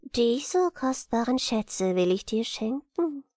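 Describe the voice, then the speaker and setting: A haughty gnome king from a storybook, played by a woman, coaxes with false friendliness. The speech is slow and pompous, sliding into breathy whispers. Intonation swoops widely, in a dry, close sound. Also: fairly high pitch, lively intonation, a little mellow, no echo, voice pushed up. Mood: coaxing, condescending. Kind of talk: fairy-tale audiobook reading.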